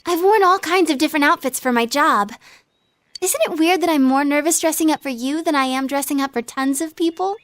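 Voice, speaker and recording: Woman acting a teenage idol girl, high voice, American accent, cutesy, coy, confident, playful anime game style. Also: fairly quick, dry recording, studio quality, close to an everyday voice.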